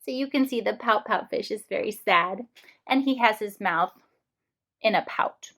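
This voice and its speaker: A woman reading a storybook aloud to young children in an expressive, explanatory way. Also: mid-range voice, normal speed. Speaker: read-aloud host for young English learners.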